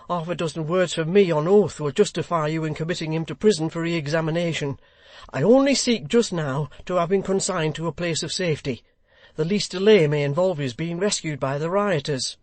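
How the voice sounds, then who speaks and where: Woman putting on a grave, pressing gentleman for a storybook; dry, close read. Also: deep voice, no room sound, voice pushed up, natural voice. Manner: earnest, solemn, pressing. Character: grave, urgent middle-aged gentleman seeking justice.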